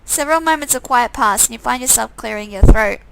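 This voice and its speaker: A woman's voice: a little high, brisk, creaky, background noise. A woman reading a visual novel game aloud, with sarcastic, amused asides in a casual style. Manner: sarcastic, amused commenting between read lines.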